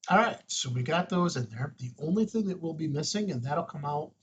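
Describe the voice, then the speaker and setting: A man hosting an unscripted, casual software walkthrough, thinking aloud and murmuring to himself as he works. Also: a little high, lively intonation, fairly quick, dull audio, slight background noise. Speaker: host of a radio tutorial.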